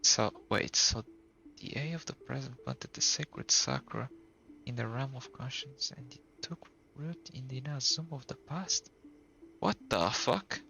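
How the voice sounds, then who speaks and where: Guy reacting to a game, gravelly, sharp voice, blunt and sweary. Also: mid-range voice, measured pace, dull audio, slight background noise. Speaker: gaming streamer. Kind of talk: casual reaction commentary. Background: faint game music.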